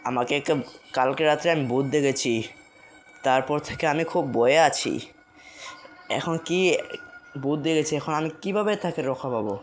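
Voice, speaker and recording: A performer plays an anxious young man from a cartoon village. He sounds worried and pleading, repeating himself in a plain, middle-pitched voice. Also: moderate pace, pauses often, a little hiss or hum, lightly acted. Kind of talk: amateur cartoon voice acting.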